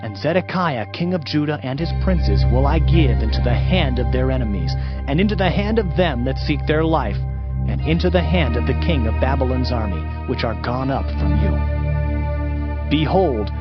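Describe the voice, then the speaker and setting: A man playing a stern, fervent Old Testament prophet in a declamatory, emphatic scripture reading. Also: on the high side. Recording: dull audio with music behind.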